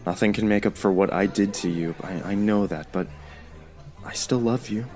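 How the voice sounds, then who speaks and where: An actor playing a remorseful young man pleading with his ex-boyfriend in an audio drama, halting and earnest. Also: on the low side, darkish tone, faint music behind, close to an everyday voice.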